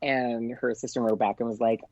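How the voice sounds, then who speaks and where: A man chats through an anecdote as a podcast guest in an excitable, gushing way. He peppers it with "like" and voices the dialogue as he goes. The sound is dry and somewhat dull, with a lo-fi edge. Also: medium pitch, fairly quick, no room sound. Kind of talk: casual anecdote, chatty storytelling.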